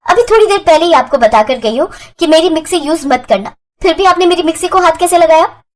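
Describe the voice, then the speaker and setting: A woman's voice: very high voice, animated, fast talker, pitched above the performer's own voice. A woman voicing a hot-tempered, possessive young sister-in-law who snaps rudely and threatens in a storybook tale. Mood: angry. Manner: sharp, threatening, rude.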